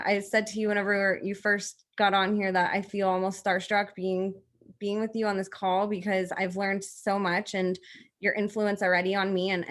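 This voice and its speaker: A woman's voice: mid-range voice, fairly bright tone. A young adult woman hosting a podcast interview over a video call, earnest and admiring. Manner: earnest, admiring, speaks in long flowing sentences. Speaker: podcast host. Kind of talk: interview introduction. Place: home room, indoors.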